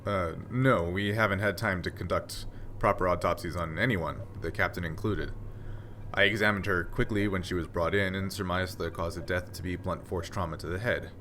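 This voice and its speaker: A man's voice: sits low, steady tone, normal speed, fairly bright tone, slight background noise, pitched below the performer's own voice. A man playing a stern, curt Vulcan doctor in a tabletop game, speaking formally and with tight control. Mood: matter-of-fact.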